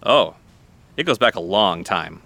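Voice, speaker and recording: An actor playing a friendly, chatty small-town cafe owner with a folksy, easygoing manner in an audio drama. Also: male voice, low-pitched, animated, a little slow, vocal fry, pushed and bright, full character voice. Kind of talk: naturalistic audio drama acting.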